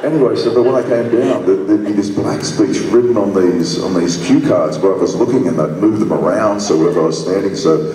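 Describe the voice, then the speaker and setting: A man's voice: low voice, fairly quick, noisy background. A man telling a rambling, laughing anecdote on a convention panel. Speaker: actor on a panel. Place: large convention hall. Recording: echoey hall from far off.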